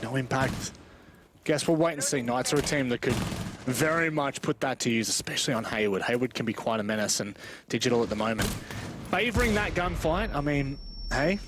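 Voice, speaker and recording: A man's voice: mid-range voice, noisy background. A man giving live play-by-play commentary on an esports match. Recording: game gunfire and clicks behind. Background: video game gunfire and clicks.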